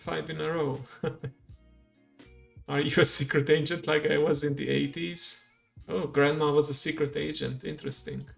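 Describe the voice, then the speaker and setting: Streamer who talks a lesson through slowly; slightly higher voice with a gravelly edge. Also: male voice, level tone, faint music behind. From Romania. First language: Hungarian. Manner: slow, thinks aloud, explains step by step. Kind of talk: live-streamed language lesson playthrough.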